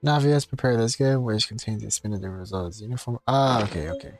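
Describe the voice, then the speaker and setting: A man casually muttering to himself while playing a video game, offhand and unhurried in tone. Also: lowish voice, a little mellow. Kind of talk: casual gameplay commentary.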